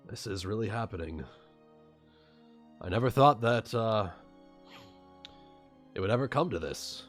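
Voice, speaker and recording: A man's voice: fairly low pitch, animated, unhurried, a bit bright, soft background music. A man playing a weary, earnest blacksmith in a tabletop fantasy game, speaking hesitantly. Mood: solemn, hopeful. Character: weary, earnest male blacksmith with arcane knowledge.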